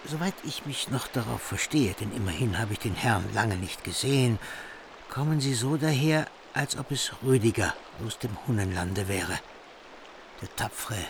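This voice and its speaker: An actor playing a wary warrior vassal in a radio drama, with a husky voice. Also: male voice, medium pitch, animated, normal speed, noisy background, close to an everyday voice. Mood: watchful. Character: wary, brave warrior vassal advising his king.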